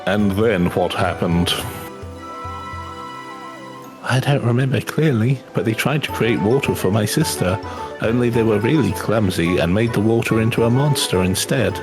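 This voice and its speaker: A man's voice: on the low side, normal speed, natural voice. A man voicing a kind, earnest young boy in a video game, speaking simply and sincerely. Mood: earnest, grateful. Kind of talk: acted reading of video game dialogue. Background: game music.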